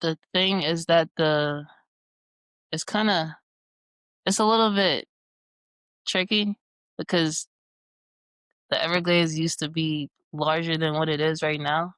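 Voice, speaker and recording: Man in his twenties, from jamaica and new york, smooth voice, flat even delivery, dry close sound. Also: fairly high pitch, slow, long pauses, dry recording. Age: 20s. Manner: relaxed, easygoing, with casual fillers. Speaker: guest scientist. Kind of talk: casual interview answers.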